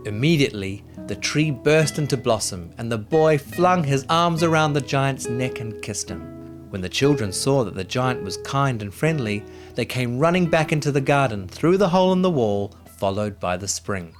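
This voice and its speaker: A man narrating a children's story in an expressive, dramatic way, with music behind him. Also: mid-range voice, normal speed.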